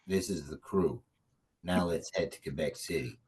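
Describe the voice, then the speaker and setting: A man's voice: rumbling low, normal speed, a little mellow, slight background noise. A man playing a laid-back, crude, swaggering action hero, offhand and cocky, in a comedy table read.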